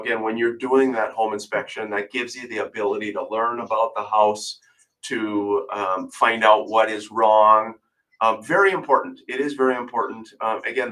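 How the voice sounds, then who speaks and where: A man's voice: lowish voice, pushed and bright, rough recording. An American man hosting a live radio talk show, his voice slightly froggy from a cold. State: battling a cold. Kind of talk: live call-in real estate radio talk.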